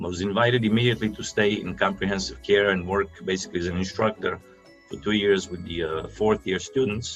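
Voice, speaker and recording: A man's voice: fairly low pitch, a bit bright, dull audio. A man in his fifties from Bulgaria, a dentist guest talking at length in a casual interview, rambling with many fillers over a video call.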